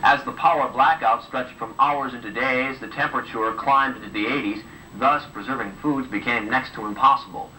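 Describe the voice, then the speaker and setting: A man reads scripted TV news in a very bright, forceful voice at a middle pitch. It sounds like an old videotape of a broadcast, distant and lightly hissy. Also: steady tone, normal speed, few pauses. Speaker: news presenter.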